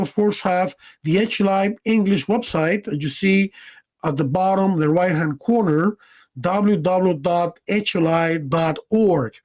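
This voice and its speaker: A man's voice: high voice, a little mellow. A man from Cuba, giving a slide presentation as a director of education. He speaks earnestly, pressing hard on single words, and stumbles into fillers and restarts when reading out details.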